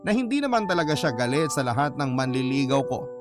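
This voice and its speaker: A man's voice: mid-range voice, big pitch swings, fast talker, natural voice. A man with a Filipino accent reading a heartfelt letter as scripted radio drama narration. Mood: reflective. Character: narrator voicing a young woman's heartfelt letter. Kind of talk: scripted first-person story narration. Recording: prominent music bed under narration.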